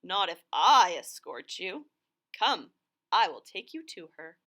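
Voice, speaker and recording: A woman acts out a smooth-talking fox, the sly trickster of a children's storybook. He coaxes and exclaims in a bright voice with very lively intonation. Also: mid-range voice, slow pace, exaggerated voice. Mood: coaxing.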